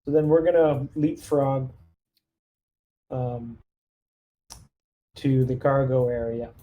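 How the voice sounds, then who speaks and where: A man's voice: medium pitch, takes it slowly, narrow, tinny audio. A man chatting casually as he plays squad leader in a tabletop roleplaying game.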